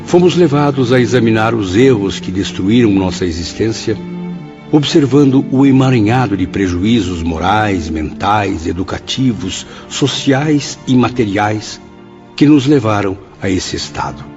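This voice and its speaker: A man's voice: lowish voice, expressive, a little slow. A man acting a reflective spirit recounting his memories in a radio drama, solemn, formal and literary. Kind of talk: scripted first-person radio drama narration. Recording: dull lo-fi audio, clear music bed.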